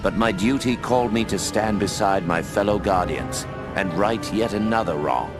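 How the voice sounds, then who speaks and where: An old owl guardian, voiced by a man narrates with steady earnestness. His voice sits low. Game music runs clearly beneath him. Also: moderate pace, lightly acted. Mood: earnest. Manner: steady storytelling.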